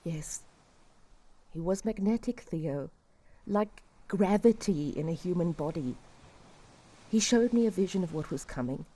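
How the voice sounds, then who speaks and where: A woman acting a despairing refugee who confesses old guilt in a reflective, halting way, in an audio drama. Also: fairly low pitch, expressive, mellow. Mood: regretful, defeated.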